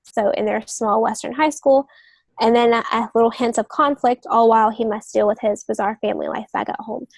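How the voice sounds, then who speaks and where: A woman's voice: fairly high pitch, fairly even intonation, fairly quick, gravelly, dry recording. A woman guest on a podcast, explaining writing craft casually, step by step. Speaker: podcast guest, novelist and literary agent.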